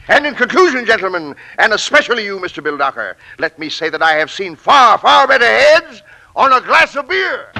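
A pompous American tycoon in a 1940s radio comedy, blustering and exasperated. The voice is high, piercingly bright and swings widely as he sputters. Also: gravelly, heavily acted, low-quality recording. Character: blustering, exasperated male coffee company boss.

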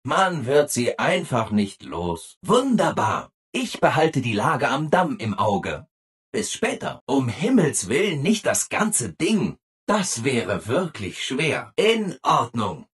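A man's voice: mid-range voice, slightly rough, exaggerated voice. A man voicing a relentlessly cheerful, obliging robot assistant in a video game, chipper, over-polite and eager to agree. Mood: gushing, over-polite. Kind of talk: video game character acting.

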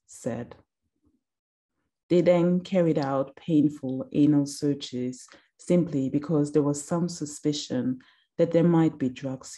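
A woman in her thirties giving a slow, deliberate reading of a reflective text over a video call from a small room. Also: deep voice, dark tone, husky. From Austria.

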